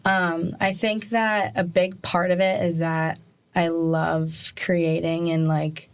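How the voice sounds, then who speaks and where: Young woman, New Jersey accent, slightly low voice, chatty student guest with lots of fillers. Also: little pitch movement, takes it slowly, smooth. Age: young adult. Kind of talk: casual chat.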